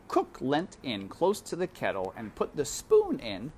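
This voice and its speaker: A woman narrating a children's novel aloud with expressive, deliberate, dramatic phrasing. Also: very deep voice, a little hiss or hum.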